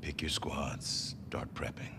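An actor playing a stern middle-aged militia commander in a game, speaking in a measured, terse, authoritative way. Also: male voice, very deep voice, fairly even intonation, whispered, exaggerated voice. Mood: commanding. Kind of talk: naturalistic game cutscene acting.

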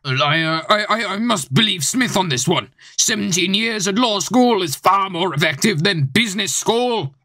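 A man's voice: high-pitched, animated, normal speed, few pauses, pushed and bright, full character voice. A teenage boy playing a hesitant, formal police chief in an audio play, stammering a little. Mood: hesitant, official. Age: teens.

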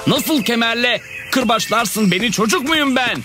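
A stubborn young man as a male storyteller acts him protests indignantly in a high, swooping voice. It is a children's story character over loud music. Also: animated, a little slow. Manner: protesting, exclamatory.

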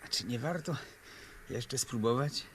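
A gentle, helpful art historian and amateur sleuth in a vintage radio play. His voice is medium in pitch and very animated. The old recording has clear noise behind it. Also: a little slow. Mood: polite, helpful. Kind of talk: 1970s Polish radio play acting.

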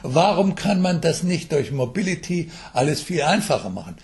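An older man answering interview questions as an expert, explaining in a halting way with English jargon mixed in. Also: fairly high pitch, very animated, darkish tone. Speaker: mobile health expert being interviewed. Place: telephone interview.

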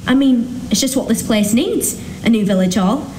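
A woman's voice: on the high side, expressive, normal speed, a little mellow, natural voice. A woman playing a chatty, opinionated villager grumbling frankly in an amateur radio play. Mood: frank, disapproving. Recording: echoey room, faint noise.

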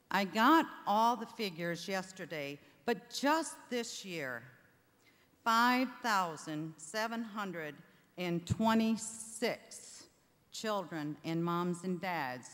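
Slow-talking older woman in a reverberant hall. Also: fairly low pitch. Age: older, 60s or above. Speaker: charity founder giving thanks. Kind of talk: informal thank-you speech. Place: theatre stage before an audience. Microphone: microphone on a stand.

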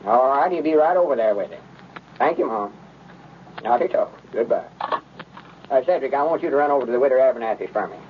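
A man's voice: medium pitch, lively intonation, quick pace, long pauses, lightly acted. A man acts an earnest old storekeeper with homespun manners and a rural Southern accent. The radio comedy recording is thin, phone-like and a bit noisy. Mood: busy, obliging. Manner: rustic, homespun talk. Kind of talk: 1930s radio comedy acting.

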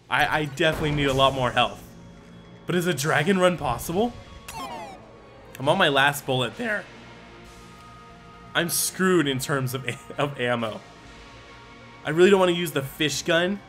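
A man giving let's-play commentary, thinking aloud and bursting into excited exclamations. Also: a little high, normal speed, pauses often. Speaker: gaming commentator. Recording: game music under the voice. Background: game music.

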